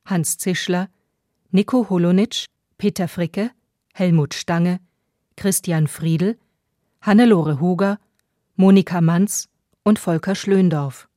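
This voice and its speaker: Woman announcer reading credits, lowish soft-toned voice, formal, dry studio. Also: no echo.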